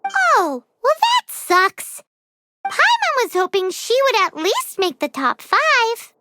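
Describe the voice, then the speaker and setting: A tiny cheeky fairy girl, extremely high and squeaky, with big swoops in pitch. She is excitable and childlike, a video game sidekick, with noise behind her. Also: slow pace, exaggerated voice. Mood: disappointed. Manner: squeaky, childlike, exclamatory, speaks of self in third person. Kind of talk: animated video game character acting.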